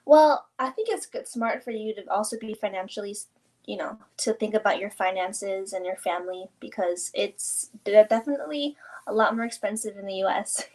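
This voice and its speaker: A woman's voice: medium pitch, lively intonation, moderate pace, darkish tone. Female host, casual and upbeat, keeps affirming her guest. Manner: enthusiastic, affirming, chatty. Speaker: interview host. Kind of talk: casual interview chat.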